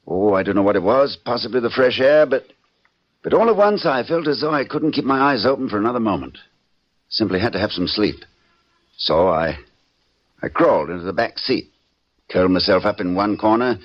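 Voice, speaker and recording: Actor as a troubled husband in vintage radio drama. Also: male voice, mid-range voice, expressive, natural voice. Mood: shaken, reflective. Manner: theatrical, halting with repeated words. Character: troubled husband, stage performer, confessing to police, darkly wry. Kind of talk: old-time radio drama acting, narrating a confession. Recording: dull vintage radio recording with music.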